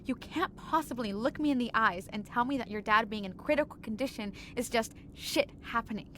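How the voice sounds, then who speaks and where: A woman's voice: fairly high pitch, fairly quick, a little hiss or hum, close to an everyday voice. An actress playing a persistent, grieving woman agent in an audio drama, earnest and blunt. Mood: blunt, pressing.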